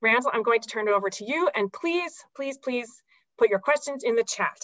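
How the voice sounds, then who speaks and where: A woman's voice: a little high, lively intonation, brisk, fairly bright tone, dry recording. A woman in her forties introducing a guest speaker on a video call, with many fillers and restarts. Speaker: event host introducing the speaker. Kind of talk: webinar introduction. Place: home office on a video call. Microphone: laptop mic on a video call. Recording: dull, dry video call audio.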